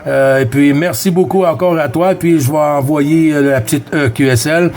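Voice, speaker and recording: A man with a Quebec French accent chatting casually as a CB radio operator, friendly, with halting phrasing and repeated words. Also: fairly high pitch, fairly even intonation, darkish tone. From Quebec, Canada. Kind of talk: casual radio chat with callsigns.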